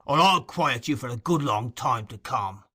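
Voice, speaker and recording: A man playing a brutish robber from a classic storybook. He is a growling bully with a forceful, contemptuous delivery. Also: medium pitch, lively intonation, moderate pace, slightly rough. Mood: threatening growl.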